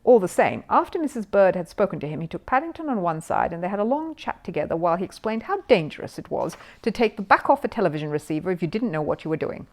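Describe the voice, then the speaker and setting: A woman narrating a children's storybook in an expressive, emphatic storytelling manner. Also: fairly low pitch, fast, hardly any pauses. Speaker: storyteller reading a children's book.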